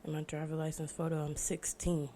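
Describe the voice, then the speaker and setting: A young American woman with a dark, mellow voice sitting a little low. She talks casually and reflectively, as a podcast host chatting alone to listeners. There is some noise behind her. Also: monotone, fairly quick. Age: 20s. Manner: informal, reflective, conversational. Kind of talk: casual solo podcast monologue. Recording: noticeable background noise.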